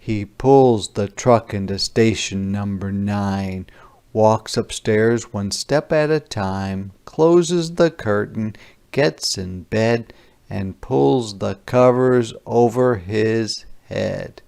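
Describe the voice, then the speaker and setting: A man with a creaky voice reading a children's picture book aloud and pausing to explain words simply. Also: fairly low pitch, a little mellow.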